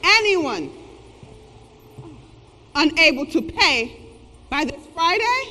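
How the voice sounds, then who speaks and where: Casting: cold, dismissive corporate rent enforcer, very animated and forceful, theatrical, reverberant hall. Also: female voice, sits high, slight background noise, full character voice. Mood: officious. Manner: slow, deliberate, curt, dismissive. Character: haughty, uncaring female rent collector for a corporation. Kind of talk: theatrical stage-play acting. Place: theatre stage, picked up in the hall.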